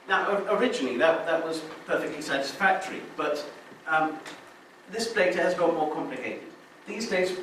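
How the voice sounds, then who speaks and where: A man's voice: fairly high pitch, fairly bright tone. A man giving a technical conference talk, explaining step by step, with frequent restarts and fillers. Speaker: conference speaker. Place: lecture room. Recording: very echoey hall, distant mic, faint noise.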